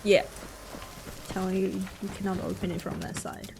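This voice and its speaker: A woman with a Mandarin accent and Mandarin as her first language, chatting casually and haltingly with her chat while streaming a game. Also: medium pitch, steady tone, vocal fry, noisy background. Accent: Mandarin-accented English. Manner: halting, chatty, answers chat with many restarts. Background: game music.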